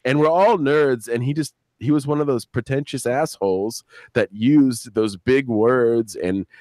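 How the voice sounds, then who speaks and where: A man's voice: medium pitch, measured pace, darkish tone, no room sound. A man, a show creator and guest, chatting casually on a live stream, telling rambling, profane anecdotes with quoted dialogue.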